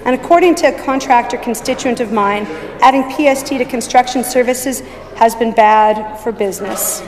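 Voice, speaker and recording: A woman with a croaky, hoarse voice giving a formal speech as an opposition member in a legislature, measured and deliberate. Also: on the high side, crowd noise. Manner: measured, deliberate, with some restarts. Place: legislative chamber. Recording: long reverb in a large chamber.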